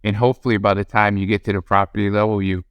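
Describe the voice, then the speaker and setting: A man's voice: lowish voice, little pitch movement, quick pace, clear, resonant tone, no echo. A man hosting an interview podcast, asking his guest questions in an easygoing way. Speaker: podcast host. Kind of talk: interview host.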